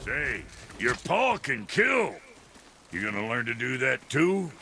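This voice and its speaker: A gravelly, foul-mouthed game blacksmith who brags about his craft and swings his voice wildly from growl to yelp, speaking slowly. Loud ambient noise from the game sits under him. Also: medium pitch, sing-song, exaggerated voice. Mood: boastful. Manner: crude, rough grammar, loud exclamations. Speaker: smith in a video game.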